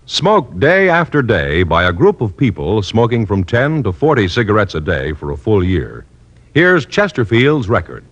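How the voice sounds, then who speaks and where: A man announcing a cigarette commercial on 1950s radio with an emphatic sales pitch. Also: huge pitch swings, moderate pace. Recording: dull old radio broadcast.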